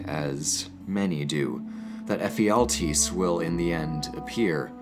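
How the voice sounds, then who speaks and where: A man with a fairly low voice presents classical poetry in a measured, literary way. He shifts between casual host talk and dramatic reading, with soft guitar music playing behind him. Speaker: host of an educational channel.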